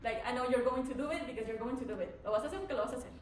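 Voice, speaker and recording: A woman's voice: on the high side, racing, slight background noise. A young woman from Mexico, a native Spanish speaker with a Mexican accent, answering a panel's questions as a student candidate, hesitant with many fillers and restarts. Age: young adult. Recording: echoey room, from far off.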